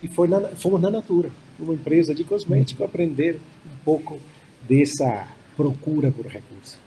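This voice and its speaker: Older Chilean-accented man, mellow voice. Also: on the high side, a little hiss or hum, rough recording. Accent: Chilean Spanish. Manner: unhurried, many fillers and restarts. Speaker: startup founder panelist. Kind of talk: hybrid panel talk.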